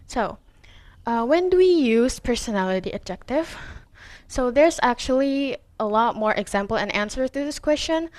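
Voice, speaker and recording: A teenage Indonesian girl presenting to her class in English, which is not her first language, with an Indonesian accent. Her voice sits a little high, and she speaks slowly, with many fillers, restarts and throat-clears. The recording is dry and close. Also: dry recording. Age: teens. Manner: halting, with frequent fillers and self-corrections. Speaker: student presenter.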